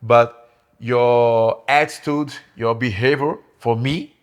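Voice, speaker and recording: A young man, a guest on a podcast, speaking in halting English with many repeated words and frequent laughs. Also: medium pitch, fairly even intonation, clean tone. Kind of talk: casual interview.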